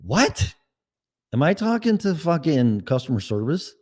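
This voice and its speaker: A man's voice: fairly high pitch, sing-song, measured pace, a little mellow. A man with a Chicago accent hosting a solo podcast, ranting in a blunt, sarcastic and profane way. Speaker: podcast host.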